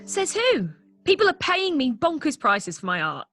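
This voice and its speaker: A British actress reading a breezy, blunt artist and loyal best friend in a table read over a video call. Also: female voice, high-pitched, huge pitch swings, normal speed, fairly bright tone, soft background music, heavily acted. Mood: breezy, wry.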